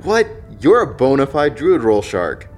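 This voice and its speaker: An actor playing a cheeky, laid-back crewmate with flippant, casual banter in an audio drama. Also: male voice, mid-range voice, sing-song, measured pace, soft background music. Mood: teasing.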